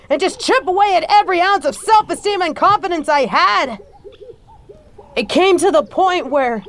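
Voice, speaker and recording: A woman playing a bitter adult daughter confronting her stepfather in an audio roleplay, scathing, profane and confrontational. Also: high-pitched. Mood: hurt, accusing. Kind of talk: scripted audio roleplay monologue.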